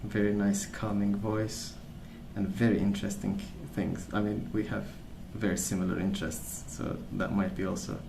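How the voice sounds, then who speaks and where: A man rambling casually in a soft-spoken ASMR style, hesitant and meandering as he hosts. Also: fairly low pitch, little pitch movement, moderate pace, vocal fry, echoey room, background noise. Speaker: ASMR video host.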